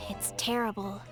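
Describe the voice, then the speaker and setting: A woman's voice: fairly high pitch, creaky, bright, forceful tone, exaggerated voice. An actress playing a cheerful, laid-back young woman warrior in a video game, with an easygoing, breezy manner and sudden earnest bursts. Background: game music.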